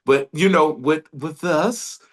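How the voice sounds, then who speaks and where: A man's voice: a little high, slow. Enthusiastic man hosting an interview. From Detroit, Michigan. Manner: enthusiastic, rambling, laughs often. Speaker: radio interview host. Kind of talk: casual interview chat.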